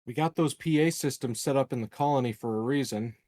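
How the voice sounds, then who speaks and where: A man's voice: mid-range voice, quick pace, a little mellow. A man playing a tabletop game, talking casually and hesitantly as he thinks a plan out aloud. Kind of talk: casual in-game planning talk.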